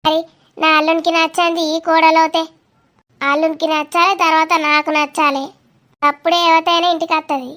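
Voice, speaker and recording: A woman's voice: very high-pitched, little pitch movement, brisk, a bit bright. A woman voicing a nosy, grumbling village aunt in a cartoon comedy, with a Telangana Telugu accent and a blunt, gossipy way of talking. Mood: scornful, grumbling.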